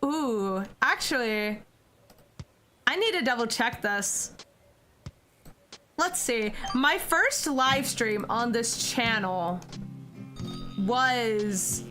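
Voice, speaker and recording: A young American woman streaming, chatting casually with her viewers and giggling often. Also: a little high. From United States. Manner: giggly, chatty, answers chat questions.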